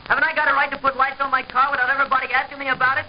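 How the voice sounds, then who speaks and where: Touchy small-town youth, very high pitch, snappish, radio play, muffled old recording. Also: male voice, level tone. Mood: angry, defensive. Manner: snappish, indignant, rapid. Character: touchy, defiant small-town kid named Butch. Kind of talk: old radio drama acting. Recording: dull, faintly noisy vintage radio recording.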